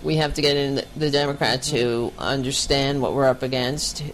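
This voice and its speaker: Low-voiced, blunt woman hosting a chat show. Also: fairly even intonation, normal speed, a little hiss or hum, low-quality recording. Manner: blunt, chatty, swears casually. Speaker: podcast host. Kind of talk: casual livestream chat. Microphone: video call.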